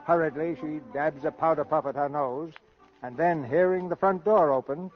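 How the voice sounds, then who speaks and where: A man hosting and narrating an old radio theater show in a formal, scripted, measured showman's style. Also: a little high, faint music behind. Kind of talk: scripted 1930s-40s radio presentation. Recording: dull old radio broadcast recording.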